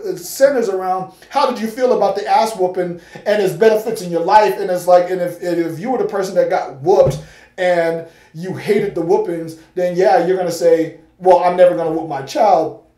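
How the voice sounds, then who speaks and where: Man, 40s, sociology professor, lively casual talk, bright high voice, roomy sound. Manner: animated, gesturing. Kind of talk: casual discussion. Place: small room, yellow wall.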